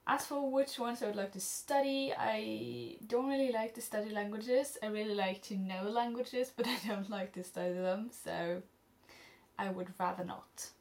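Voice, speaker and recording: A teenage girl from Norway speaks English with a Norwegian accent, her mid-pitched voice full of vocal fry. She rambles through viewer questions in a casual vlog, with plenty of ums and little laughs. She is recorded up close on a camera at home. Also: moderate pace, a little mellow. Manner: rambling and chatty, many ums and restarts, laughs often. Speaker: studyblr vlogger answering questions.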